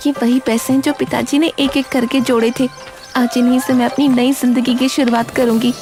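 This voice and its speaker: A woman's voice: high-pitched, level tone, moderate pace, music in the background. A woman playing a devoted, emotional young daughter in a cartoon drama. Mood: determined. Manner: earnest, emotional. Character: devoted young daughter, grieving yet determined. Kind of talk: Hindi cartoon drama acting.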